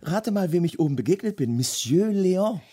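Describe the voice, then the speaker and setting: An actor playing a plodding, good-natured provincial husband in a radio play, earnest and a little fussy. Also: male voice, high voice, expressive, brisk, husky. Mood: pleased. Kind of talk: German radio play acting. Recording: loud music behind the voice. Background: loud music.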